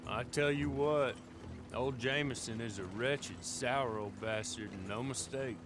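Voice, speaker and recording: A bossy, grumbling frontier prison guard from a western game, played by a male actor. He is gruff and opinionated, with a mid-range voice over clear background music. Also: medium pitch, a little slow, natural voice. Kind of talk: western video game acting.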